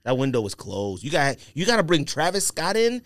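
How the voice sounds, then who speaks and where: A man's voice: on the high side, sing-song, a little fast, no echo, studio quality. A man co-hosting a casual podcast, insisting on his points and repeating them for emphasis. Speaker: podcast co-host. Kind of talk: casual sneaker podcast banter.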